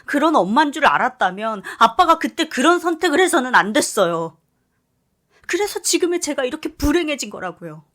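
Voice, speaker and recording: A woman's voice: high voice, big pitch swings, brisk, a bit bright, pitched above the performer's own voice. An anguished young adult son played by a woman in a dramatized story. The delivery is emotional and pleading, with bitter, accusing outbursts.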